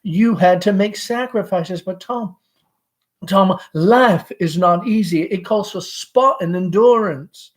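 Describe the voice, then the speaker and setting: A woman's voice: mid-range voice, slow, pitched above the performer's own voice, close to an everyday voice. A reader voicing an anxious, dramatic middle-aged mother in a fluttery, stammering, pleading manner, from a stage play. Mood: worried, pleading.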